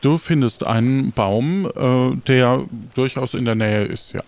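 A man describing scenes and outcomes in an improvised roleplay, halting and matter-of-fact. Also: medium pitch, gravelly, darkish tone. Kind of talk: improvised tabletop roleplay talk.

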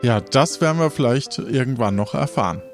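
A man's voice: medium pitch, lively intonation, brisk. A man narrating an audio role-playing game as its host and game master. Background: music.

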